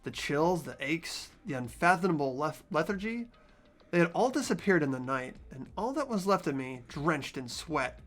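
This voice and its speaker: A performer voicing an anxious, bewildered high school boy, reading visual novel narration in an earnest, dramatic, hesitant way. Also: big pitch swings, normal speed, fairly bright tone. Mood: weary, uneasy. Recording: background music behind voice.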